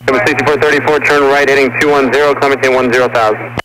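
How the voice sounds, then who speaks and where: A man's voice: mid-range voice, flat delivery, quick pace, noisy background. A man working as an approach controller, speaking clipped phraseology over aviation radio. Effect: aviation radio transmission. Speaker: approach air traffic controller.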